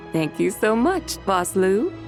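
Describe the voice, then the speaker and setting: A woman's voice: medium pitch, full character voice. A woman voicing a poised, gracious, secretly divine lady in a video game, composed and formal in manner. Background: music.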